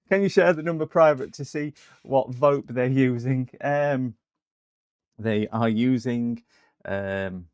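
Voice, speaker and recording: A man's voice: medium pitch, takes it slowly, darkish tone, smooth, no echo, highs cut off. A British man chatting casually on a repair livestream, rambling in a jokey, mock-indignant manner. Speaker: streamer doing electronics repairs. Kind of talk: casual livestream chat and prank phone call.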